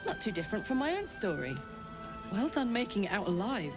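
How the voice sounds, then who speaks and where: An actress voicing a chatty, earnest soldier with an infernal engine for a heart in a video game, with a British accent and blunt, expressive delivery. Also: female voice, medium pitch, a little fast, lightly acted. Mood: friendly, wry. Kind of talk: video game character acting. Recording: game audio with music behind. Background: music.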